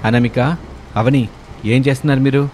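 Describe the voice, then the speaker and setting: A man's voice: mid-range voice, fairly even intonation, moderate pace, darkish tone, slight background noise, lightly acted. A man voicing a puzzled, earnest husband in a cartoon family story. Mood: shocked. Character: grown sons, puzzled husbands in a family tale. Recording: music under the voice.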